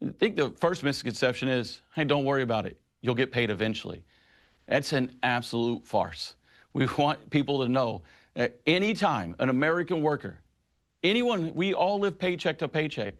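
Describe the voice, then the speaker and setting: A man's voice: medium pitch, expressive, moderate pace. A middle-aged man speaking as a union president in a news interview, making his case with firm, emphatic conviction. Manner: impassioned, emphatic advocacy.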